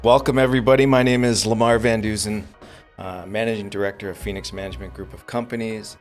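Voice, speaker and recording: A man hosting a business podcast, introducing his guest in an earnest, measured way with frequent fillers. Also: fairly low pitch, fairly even intonation, music in the background. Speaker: podcast host. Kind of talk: interview hosting.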